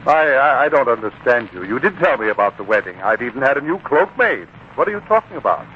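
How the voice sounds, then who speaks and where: An actor playing a proud, petty, easily offended employer with a pompous, indignant manner in a 1940s radio drama. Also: male voice, mid-range voice, sing-song, fast, natural voice. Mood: puzzled, peeved. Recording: tinny, noisy 1940s radio broadcast.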